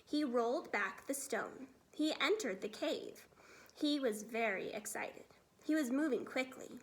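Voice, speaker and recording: Female storybook reader, teacherly read-aloud narration, high voice with lively swings in pitch. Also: unhurried.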